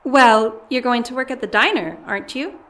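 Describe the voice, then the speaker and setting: A woman's voice: fairly high pitch, normal speed, a bit bright, lightly acted. A woman playing an exasperated, earnest alien twin who manages a diner, indignant and pleading, in an audio comedy. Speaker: voice actor. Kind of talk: audio comedy drama acting.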